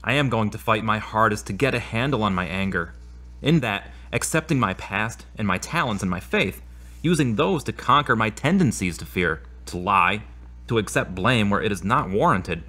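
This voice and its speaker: A man's voice: lowish voice, lively intonation, normal speed, sharp tone. A man playing an earnest, troubled boyfriend in an audio drama, speaking in solemn, formal phrases. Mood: earnest resolve. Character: earnest troubled boyfriend admitting his lies and anger.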